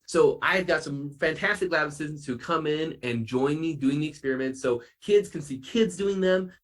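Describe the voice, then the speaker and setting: Man acting as a kids' show science doctor, slightly husky, gushing, full of wonder, emphatic. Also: a little high, lightly acted. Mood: eager, promotional. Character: excitable, wonder-filled science doctor for children.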